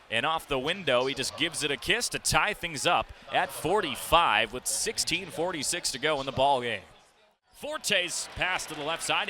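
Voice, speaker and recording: A young man with a slightly high voice calls basketball as a radio play-by-play sportscaster. He speaks in clipped phrases and punches the big plays. Clear arena noise sits behind him. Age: young adult. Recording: noisy live arena broadcast. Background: arena noise, bouncing ball.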